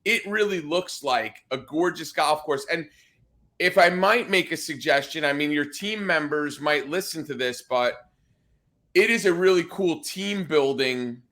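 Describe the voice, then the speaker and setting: Man, podcast host, high voice, casual rambling chat, playful. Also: measured pace, a bit bright. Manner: rambling, repeats words, playful teasing.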